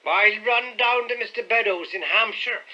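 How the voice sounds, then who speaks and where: A man's voice: high-pitched, moderate pace, sharp tone, dull audio, pitched above the performer's own voice. A man voicing a surly, insolent, half-drunken servant with a thick, slurred voice and a snarling, sulky manner, in an audiobook. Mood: surly, boastful.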